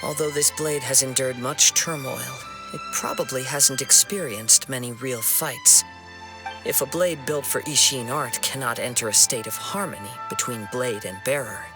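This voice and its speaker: A man voicing a calm, principled young wandering swordsman in a video game, with measured, earnest, formal delivery. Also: medium pitch, lightly acted. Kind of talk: dramatic video game dialogue. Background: music.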